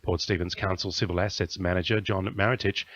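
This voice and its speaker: Low-pitched Australian man reading radio news. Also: steady tone, a little fast, a bit bright, dull audio. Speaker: radio newsreader. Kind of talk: scripted news bulletin.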